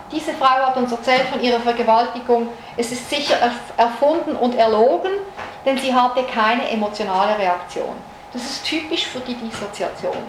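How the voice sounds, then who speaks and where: Female lecturer, Swiss-raised, explanatory, fairly high voice, roomy and noisy recording. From Switzerland. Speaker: psychologist giving a lecture. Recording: echoey room recording with noise.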